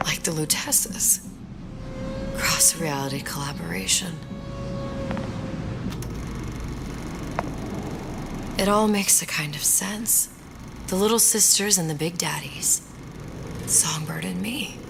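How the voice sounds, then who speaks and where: A haunted young woman in a dramatic video game. Her voice sits a little low, breathy and hushed, clever yet heavy with guilt and loneliness. Prominent music plays behind her. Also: unhurried. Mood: quiet realization. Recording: music under the voice.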